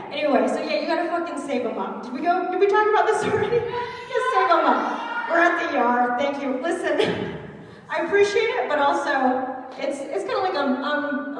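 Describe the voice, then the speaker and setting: A young woman performs stand-up with a loud, chatty voice that keeps poking fun at herself. Her voice sits a little high. It carries through a big, reverberant hall and is picked up from some distance away. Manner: loud, chatty, self-mocking. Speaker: stand-up comedian. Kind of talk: stand-up comedy set. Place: large hall at a culture show. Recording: echoey hall, mic heard from far off.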